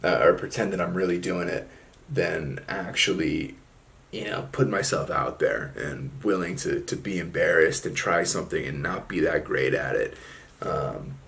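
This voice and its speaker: A low-voiced young man with a gravelly edge talks to his audience in an easygoing, rambling way. He drawls through his thoughts and leans on casual fillers as he goes. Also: moderate pace, a bit bright. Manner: laid-back, drawling, frequent fillers like "man" and "like". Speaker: solo video host. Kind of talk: casual reflective monologue.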